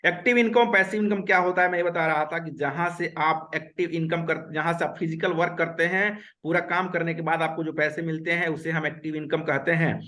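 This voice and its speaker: Male webinar instructor from Uttar Pradesh on a video call, fairly high voice, earnest and repetitive. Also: steady tone, a little fast, few pauses, pushed and bright. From Uttar Pradesh, India. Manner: earnest and repetitive, mixes English words. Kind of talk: online webinar lecture.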